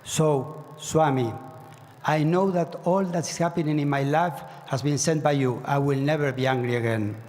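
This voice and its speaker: A man's voice: a little high, moderate pace, a little mellow. A man giving an earnest devotional address to a large gathering, pushing hard on key phrases. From Argentina. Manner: earnest, exhorting, with fervent rising emphasis. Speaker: speaker at a spiritual gathering. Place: large hall. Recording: long reverb of a large hall.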